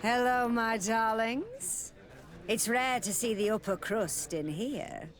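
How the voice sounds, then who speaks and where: A woman's voice: fairly high pitch, lively intonation, slow, a little hiss or hum. A woman playing a sweet-talking tavern courtesan in a video game, coy, familiar and teasing. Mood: flirtatious, inviting. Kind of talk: video game dialogue acting.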